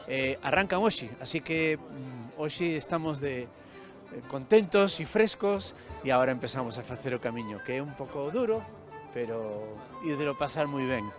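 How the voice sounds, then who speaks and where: A male organizer giving an interview comment outdoors, relaxed and hesitant. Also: medium pitch, moderate pace, over music. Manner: relaxed, hesitant with fillers and restarts. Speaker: program organizer.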